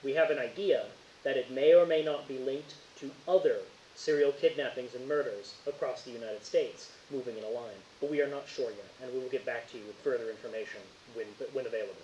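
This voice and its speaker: A man playing a government handler briefing investigators in a measured, formal way during a tabletop game. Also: medium pitch, dark tone, natural voice. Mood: measured, guarded. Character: male handler, official briefing investigators on missing people. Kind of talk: tabletop roleplay in character. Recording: muffled, hissy, roomy home recording.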